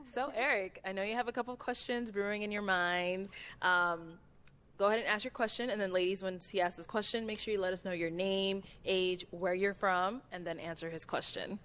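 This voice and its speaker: A woman hosting a blind-date show, cheerful and quick to laugh as she explains the rounds. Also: mid-range voice, fairly even intonation, a bit bright, clear, resonant tone. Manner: upbeat, laughs often. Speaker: show host.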